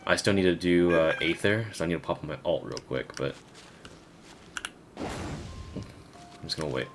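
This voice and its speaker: A man's voice: sits low, moderate pace, music in the background. A man giving casual gameplay commentary as a video creator, thinking aloud with hesitant phrasing. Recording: noticeable background noise.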